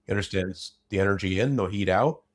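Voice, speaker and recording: A man's voice: low voice, brisk. A man in a casual unscripted discussion, opinionated and blunt, rambling through broken-off sentences.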